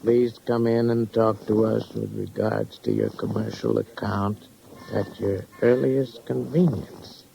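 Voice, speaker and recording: An actor playing a folksy, stubborn American hotel desk clerk in a 1950s radio drama, gravelly and rambling. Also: male voice, fairly low pitch, very animated, unhurried, mellow, full character voice. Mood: sly, helpful. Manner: plain, stubborn, rambling working-class talk. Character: folksy, slow-witted male flophouse desk clerk. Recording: dull, faintly noisy old radio recording.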